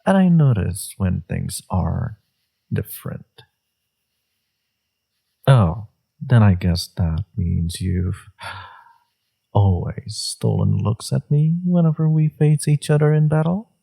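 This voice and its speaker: A man playing a flirtatious, teasing villain in an intimate romance audio roleplay, murmuring coyly in a low, breathy voice. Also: expressive, slow, pauses often, full character voice. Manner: teasing, coy, murmuring with soft chuckles. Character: flirtatious, teasing male villain, secretly smitten enemy.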